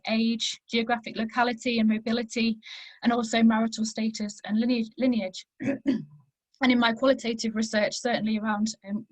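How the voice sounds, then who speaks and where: A woman with a hoarse, croaky voice, giving an academic presentation over a video call in a conversational style with many fillers. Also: on the high side, no echo, poor audio. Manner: conversational lecturing with frequent "um" fillers. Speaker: invited academic speaker.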